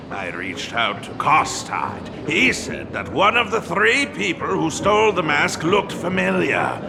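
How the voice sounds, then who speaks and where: A man voicing a world-weary detective in a video game, with a dry, deliberate way of talking. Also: medium pitch, gravelly, noisy background, heavily acted.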